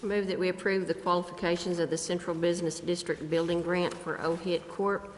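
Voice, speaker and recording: A woman's voice: on the low side, level tone, brisk. A woman serving as a council member, formally reading motions in a reverberant chamber. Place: city council chamber, indoors. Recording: reverberant hall, faint noise.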